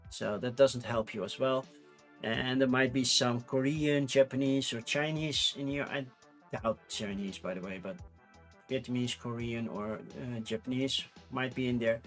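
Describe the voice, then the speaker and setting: A man's voice: mid-range voice, a little slow, soft background music. A man with a Dutch accent who speaks English as a second language, chatting casually on a livestream, rambling with fillers and restarts. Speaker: streamer.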